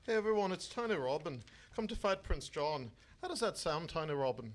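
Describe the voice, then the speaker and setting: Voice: medium pitch, lively intonation, brisk, close to an everyday voice. An actor playing a reluctant, complaining member of an outlaw band in a comic radio play. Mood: mocking. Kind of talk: radio-play comedy acting.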